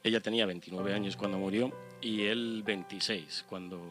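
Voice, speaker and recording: A man, explaining facts haltingly and casually as a radio co-presenter. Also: fairly low pitch, fairly even intonation. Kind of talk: casual factual commentary. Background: background music.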